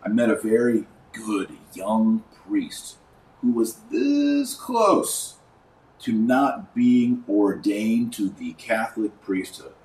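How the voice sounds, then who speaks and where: A man's voice: on the low side, sing-song, takes it slowly, darkish tone, roomy sound, far from the mic, rough recording. A man hosting a religious commentary podcast, speaking emphatically and indignantly, with rhetorical questions and sarcastic asides.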